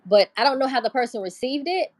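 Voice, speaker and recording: Woman host, casual livestream chatter, slightly high voice, dry close sound. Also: animated, fast talker, darkish tone, no room sound. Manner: chatty, swears freely, greets chat.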